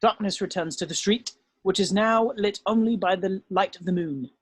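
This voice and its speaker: High-pitched man narrating, close dry sound. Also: moderate pace, husky, no room sound. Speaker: stage-direction narrator. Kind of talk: scripted narration at a drama table read.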